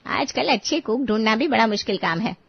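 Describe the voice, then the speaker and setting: A woman's voice: fairly high pitch, expressive, brisk, creaky, husky, no room sound, dull audio, heavily acted. A woman playing a kind, appreciative lady of the house, speaking in a reassuring, affectionate way. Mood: grateful, praising.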